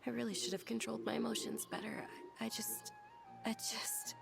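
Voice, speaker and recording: A woman playing a gentle, sincere, emotional young noblewoman in a video game. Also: a little high, fairly even intonation, a little fast, vocal fry, loud music behind the voice. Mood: tearful.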